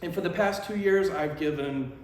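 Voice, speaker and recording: A man's voice: on the high side, normal speed. A man talking casually to camera, presenting a club as one of its members. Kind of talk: casual promotional talk to camera. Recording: echoey, reverberant room.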